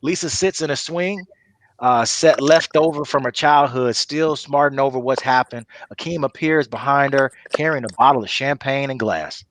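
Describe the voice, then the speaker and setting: A man's voice: mid-range voice, normal speed, a bit bright, no echo. Casual male table-read narrator. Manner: casual, storytelling. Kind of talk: reading stage directions for a comedy table read.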